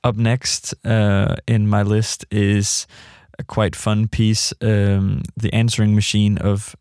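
A male radio host introduces music casually and hesitantly. His voice sits fairly low with some fry in it, recorded close and dry. He pauses and gropes for words as he goes. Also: completely flat delivery, darkish tone, no room sound. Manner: hesitant, halting delivery with trailing thoughts. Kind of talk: casual unscripted track introductions.

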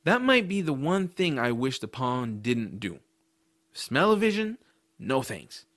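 A man's voice: medium pitch, fairly bright tone, no room sound, lightly acted. Man playing an earnest young adventurer, dry recording. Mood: narrating, disgusted. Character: earnest, kind-hearted adventurous boy narrating his story. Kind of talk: first-person audiobook narration with dialogue.

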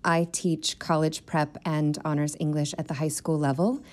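A woman playing a polite new high school English teacher in an audio drama, presenting formally. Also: level tone, normal speed, a little mellow, close to an everyday voice. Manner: formal, self-introducing presentation. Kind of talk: naturalistic audio drama acting.

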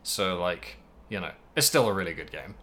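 Low-voiced man rambling about video games. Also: expressive, moderate pace, a bit bright. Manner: rambling, hedging, thinking aloud. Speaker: podcast host. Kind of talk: casual video game discussion.

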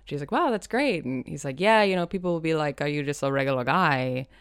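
A woman's voice: deep voice, expressive, a little fast, clear, resonant tone, no echo, studio quality. A woman co-hosting a podcast, chatting casually about a TV episode and joking as she acts out lines. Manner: laughing, jokey, acts out quotes. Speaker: podcast co-host. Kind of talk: casual chat recapping a TV episode.

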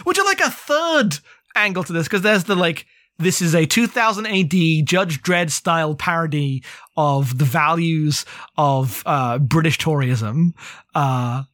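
Podcast cohost just chatting, animated and informal, mid pitched voice, super dry close sound. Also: a little slow, dry recording. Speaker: podcast co-host. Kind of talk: casual book discussion.

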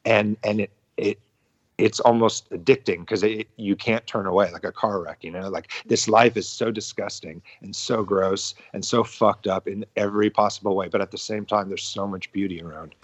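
A man's voice: lowish voice, fairly even intonation, dry recording. A man, a podcast guest and musician, talking casually with many restarts, chuckles and swear words. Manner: halting, repeats words, chuckles, swears freely. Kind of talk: casual interview talk. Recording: muffled lo-fi sound.

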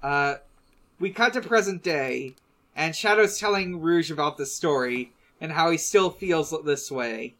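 A podcast co-host casually recapping a story among friends, in a halting way with drawn-out pauses. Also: mid-range voice, fairly bright tone.

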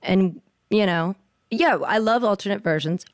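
A woman's voice: medium pitch, normal speed, no room sound, clean studio sound. A woman singer being interviewed, chatting casually in an earnest, thoughtful way. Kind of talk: casual chat.

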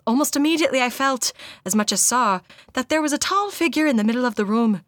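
An earnest witness to a haunting, played by a woman tells the tale in a put-on Irish accent. The voice is high and close, with very little room sound, and the reading is dramatic, as in a storytelling podcast. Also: expressive, fast, fairly bright tone, no room sound. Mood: hushed, earnest. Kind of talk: dramatic reading of a first-person ghost account.

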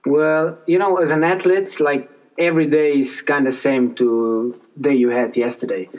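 Halting young man, slightly high voice. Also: steady tone, a little mellow, distant mic, poor audio. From Slovenia. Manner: hesitant, halting, fillers, non-native phrasing. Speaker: professional cyclist, guest. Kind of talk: casual interview answers.

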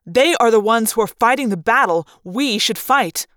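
A patient, ancient male android speaks in a soft, measured way, as a woman reads him. His tone stays earnest and gentle. The sound is dry and close. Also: mid-range voice, no echo, lightly acted. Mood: impassioned.

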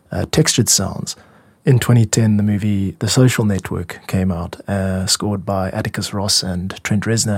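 A man's voice: fairly low pitch, darkish tone, husky, no room sound. A man hosting a music podcast, explaining production work in a conversational, reflective and demonstrative way. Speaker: music podcast host and composer.

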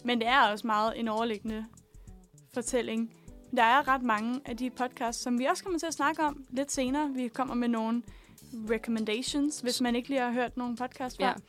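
A woman's voice: on the high side, fairly even intonation, fairly bright tone, faint music behind. A young adult woman co-hosting casual student radio, talking playfully and informally with a teasing streak in a studio. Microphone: studio microphone.

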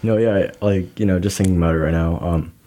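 A man's voice: deep voice, fairly even intonation, fast talker, dark tone. A young man hosting an interview, rambling through his questions with many fillers and joking at his own expense. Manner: rambling, full of fillers, self-deprecating. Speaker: podcast host.

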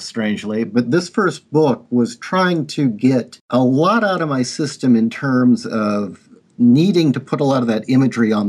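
A man's voice: mid-range voice, a little mellow. Reflective middle-aged man on a panel. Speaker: writer and artist guest. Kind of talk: casual panel chat.

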